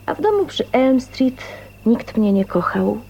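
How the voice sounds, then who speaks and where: Dreamy, shy young spinster. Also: female voice, fairly high pitch, big pitch swings, measured pace, dark tone, muffled recording, heavily acted. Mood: bitter, wistful confession. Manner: dreamy, emotional, hesitant phrasing with breathy whispers. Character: shy, dreamy 29-year-old spinster, wistful and newly in love. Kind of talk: radio drama acting, intimate monologue and dialogue. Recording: faint noise, 1980s radio drama.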